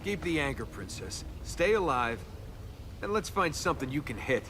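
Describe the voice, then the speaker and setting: Wisecracking young rogue adventurer, noisy background. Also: male voice, a little high, sing-song, moderate pace. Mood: firm, urging. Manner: wry, flippant, casual. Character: wisecracking young adventurer, roguish, sarcastic, reluctant hero. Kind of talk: video game voice acting. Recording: noisy game capture, loud effects behind.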